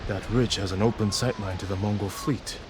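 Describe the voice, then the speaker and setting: An actor playing a grave, resolute samurai warrior in a video game, speaking in a terse, solemn, measured way. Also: male voice, on the low side, fairly even intonation, music in the background, lightly acted. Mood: grave resolve. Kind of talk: video game cutscene acting.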